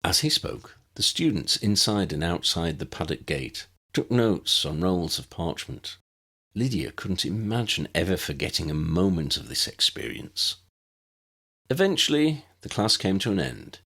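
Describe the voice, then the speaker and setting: A man's voice: deep voice, fairly bright tone. A man reading a story aloud as a scripted audiobook narration.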